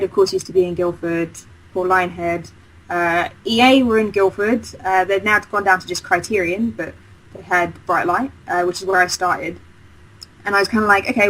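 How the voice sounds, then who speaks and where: A woman in her thirties recounts her path in a casual interview. She talks enthusiastically and rambles, full of fillers and restarts. Her voice sits at a middling pitch. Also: low-quality recording. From Essex, England. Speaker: game designer guest.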